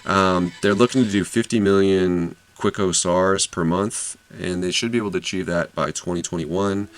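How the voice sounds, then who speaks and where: Deep-voiced man summarizing an earnings call. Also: clean tone. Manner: conversational, many fillers and self-corrections. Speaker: investing channel host.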